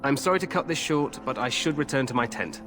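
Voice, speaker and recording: An actor playing a confident, glory-hungry young king in a fantasy game, regal, grand and expressive. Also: mid-range voice, fast, over music, lightly acted. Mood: regretful, regal. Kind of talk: fantasy video game acting.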